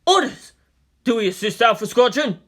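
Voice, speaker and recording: A woman's voice: fairly high pitch, expressive, moderate pace, fairly bright tone, voice pushed up. A woman voicing a tense male military squad leader giving clipped radio reports in a story reading. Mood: alarmed. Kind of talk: dramatized fan fiction reading.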